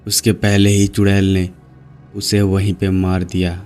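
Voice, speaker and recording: A man narrating a horror cartoon story in an even, unhurried manner. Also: low-pitched, soft background music.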